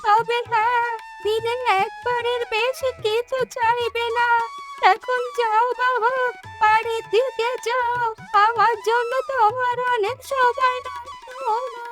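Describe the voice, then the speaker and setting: Frail, high-voiced old beggar woman. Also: little pitch movement. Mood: gentle. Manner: slow, pleading, gentle. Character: frail poor old village woman, kindly. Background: background music.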